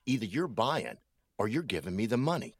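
An actor playing a thuggish, menacing drug dealer in an audio drama, with rough, slangy, threatening speech and a husky voice. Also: male voice, mid-range voice, sing-song, fairly quick, dry recording.

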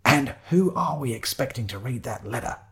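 A man's voice: mid-range voice, lively intonation, normal speed, close to an everyday voice. A man voicing a haughty, commanding homeless man with a pompous, wordy, formal manner in an audio story. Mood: stern, reasoning. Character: Shiloh, indignant haughty man sleeping rough, commanding.

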